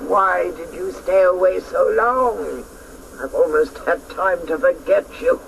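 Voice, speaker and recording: A man playing a haughty, scornful ancient guardian in a radio drama, with a gravelly voice and a mocking, imperious manner. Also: sits high, unhurried, a bit bright, heavily acted. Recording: faintly noisy old radio broadcast.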